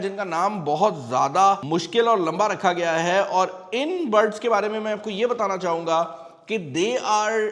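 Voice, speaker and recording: Male Pakistani tech reviewer, high voice, conversational unboxing delivery with English mixed in, reverberant room. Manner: conversational, mixes English phrases into speech. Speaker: tech reviewer and channel host. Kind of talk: product review and unboxing, casual explaining to viewers. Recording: long reverberant room echo.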